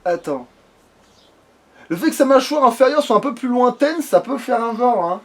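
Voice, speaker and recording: A man with a notably high-pitched voice talks through a video game in casual conversation. His wording is informal and crude, as in a relaxed let's play. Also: normal speed. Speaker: let's player. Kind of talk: casual chat while creating a game character.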